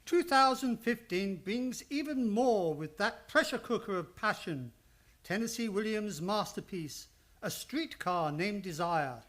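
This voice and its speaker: A man reading scripted narration and promos as a radio announcer, with deliberate theatrical delivery. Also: high voice, animated, fairly bright tone. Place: radio studio, live performance.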